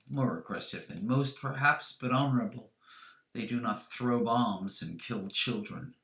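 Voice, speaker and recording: A woman voicing an elderly, courteous male crime boss with formal, deliberate speech, in a story reading. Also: extremely low pitch, echoey room. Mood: scornful.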